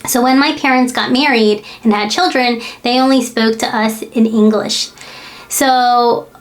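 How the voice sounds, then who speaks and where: American woman, parent, channel host, slightly high voice, candid, reflective, lots of ums. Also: steady tone, measured pace, low-quality recording. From United States. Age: adult parent. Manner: candid, reflective, with frequent fillers. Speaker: language-learning channel host. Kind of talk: personal talk to camera.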